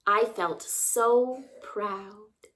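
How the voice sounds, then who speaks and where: A woman reading a children's picture book aloud expressively, pausing to ask young listeners simple questions. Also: mid-range voice, fairly bright tone. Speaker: children's story time reader.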